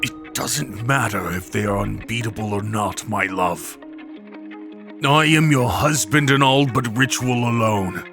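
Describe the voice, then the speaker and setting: A man playing a fierce, protective god of war in an audio drama roleplay, speaking with dramatic, impassioned, halting delivery. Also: on the low side, creaky, a bit bright, faint music behind. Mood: devoted, resolute. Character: fierce male god of war, protective devoted lover and father.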